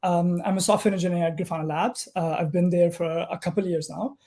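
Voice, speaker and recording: Male tech speaker, mellow tone, hesitant delivery with frequent fillers, on a video call. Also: sits high, fairly even intonation, quick pace. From Pakistan. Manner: hesitant, many ums and uhs. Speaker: conference speaker, software engineer. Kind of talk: technical conference talk.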